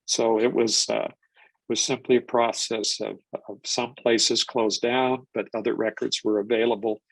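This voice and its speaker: A man's voice: mid-range voice, flat delivery, measured pace, gravelly, dry recording, poor audio. An older man presenting a genealogy webinar, stuffed up from a cold, rambling with restarts and fillers. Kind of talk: live webinar lecture.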